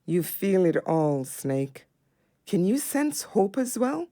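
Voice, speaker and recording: A woman playing a gentle, hopeful dog in an audio drama, calm, measured and tender. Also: medium pitch, dry recording, clean studio sound. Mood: calm, solemn.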